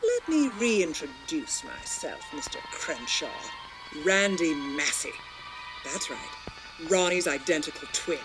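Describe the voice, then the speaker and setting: A bitter, scheming femme fatale taunts in melodramatic film-noir style. She sounds like a vindictive playgirl in a radio play, with music clearly playing behind her. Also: low voice, big pitch swings, slow, full character voice, low-quality recording. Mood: scornful.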